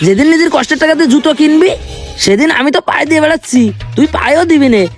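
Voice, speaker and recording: A man's voice: very high-pitched, expressive, racing, soft background music. A man playing a touchy, thrifty young man in a comedy skit, fussy and aggrieved. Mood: scornful. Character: touchy, thrifty young man fussing over his new shoes.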